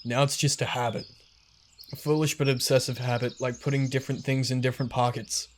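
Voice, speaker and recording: A man reading as a brooding young male narrator, deepening his voice and speaking in a low, gloomy way. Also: little pitch movement, a little hiss or hum, natural voice. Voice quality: deliberately deepened voice. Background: music.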